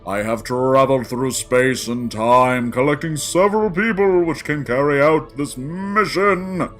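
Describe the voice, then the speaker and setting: A man's voice: mid-range voice, measured pace, fairly bright tone, faint music behind, close to an everyday voice. An actor playing a solemn pan-dimensional guardian in a sci-fi audio drama, portentous and theatrical, drawing out his phrases. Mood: solemn, foreboding. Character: solemn male cosmic guardian, grandiose pan-dimensional being.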